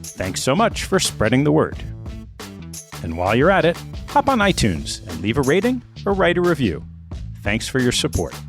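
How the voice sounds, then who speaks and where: Lively male podcast host. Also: normal speed, soft background music. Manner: lively, upbeat delivery with big pitch swings. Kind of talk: scripted podcast intro and interview.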